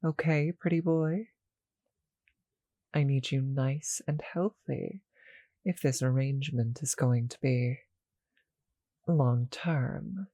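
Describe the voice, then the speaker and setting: A performer plays a doting, possessive vampire in an intimate audio roleplay. The voice is mellow and unhurried, with a creaky, breathy purr. It teases softly and sounds very close and dry. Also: mid-range voice, dry recording. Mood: tender, doting. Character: seductive, doting vampire with a teasing, possessive streak.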